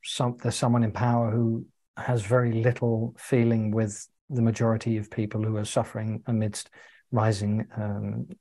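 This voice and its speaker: A man's voice: fairly low pitch, flat delivery, moderate pace, warm tone. A young adult man from the UK, co-hosting a video call and talking carefully and hesitantly. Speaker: co-host. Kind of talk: casual video call chat.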